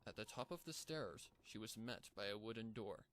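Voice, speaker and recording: A man's voice: on the low side, expressive, normal speed, fairly bright tone. A man reading a scripted story aloud as a fan fiction narrator. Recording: clear background noise.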